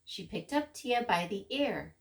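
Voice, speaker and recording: A woman's voice: medium pitch, expressive, unhurried, gravelly. A woman narrating a children's story expressively, with rhythmic, dramatic emphasis.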